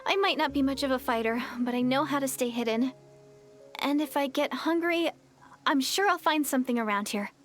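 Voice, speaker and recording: A woman's voice: high-pitched, fairly quick, close to an everyday voice. A woman voicing a shy, easily flustered young village woman in a game, who talks hesitantly and stammers. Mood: shy earnest. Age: young adult. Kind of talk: game dialogue.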